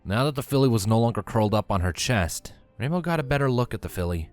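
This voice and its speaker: A man's voice: mid-range voice, lively intonation, fast talker. A man reading a scripted story as its narrator. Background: music.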